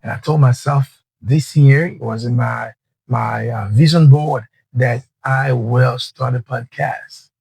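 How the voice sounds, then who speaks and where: A man's voice: medium pitch, fairly even intonation, slow pace, creaky, a little mellow, husky, no echo. A man hosts a motivational podcast, speaking earnestly and restarting phrases as he coaches listeners. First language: Haitian Creole. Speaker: podcast host.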